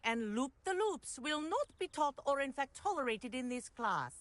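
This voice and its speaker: A woman's voice: high-pitched, very animated, moderate pace, no echo. A woman playing a strict, proper flying instructor at a wizard school in a video game, speaking formally and firmly. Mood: strict, instructive. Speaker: flying teacher.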